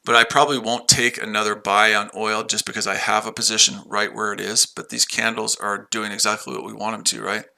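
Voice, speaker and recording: A man's voice: fairly low pitch, little pitch movement, fairly quick, gravelly, few pauses, sharp tone. An American man casually chatting on a livestream while trading, rambling and talking to his viewers. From United States. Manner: rambling, repeats himself, chats with viewers. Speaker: livestream trader.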